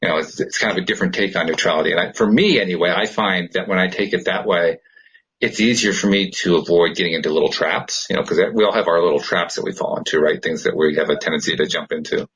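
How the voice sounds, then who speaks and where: A man's voice: low-pitched, quick pace, fairly bright tone, slightly rough, poor audio. A man co-hosting a podcast in a casual, reflective way, often rambling and searching for words. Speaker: podcast co-host. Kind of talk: casual spiritual chat.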